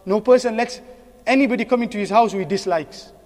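High-pitched male preacher in an echoing hall. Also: quick pace, darkish tone. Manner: earnest, exhorting with repeated phrases and rhetorical questions. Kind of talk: sermon. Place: mosque hall. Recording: long echoing hall reverb.

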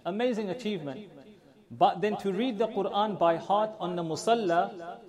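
A man's voice: sits high, moderate pace. A man leading prayers, giving an earnest address to his congregation and repeating phrases for emphasis; his first language is not Urdu. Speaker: imam. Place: large mosque hall with congregation. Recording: crowd audible behind.

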